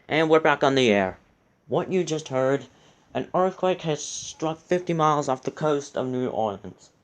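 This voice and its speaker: A man's voice: on the high side, animated, measured pace. A man delivering a news bulletin as an anchor on air.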